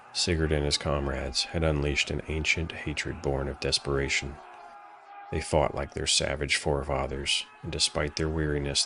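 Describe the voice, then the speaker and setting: A man narrating a dramatic fantasy story from a script in a solemn, measured way. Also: very deep voice, creaky, sharp tone, a little hiss or hum.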